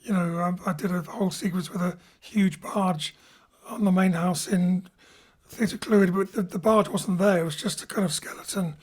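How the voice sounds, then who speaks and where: A woman's voice: on the low side, fairly even intonation, normal speed. A woman hosting a casual discussion about theatre and audio drama.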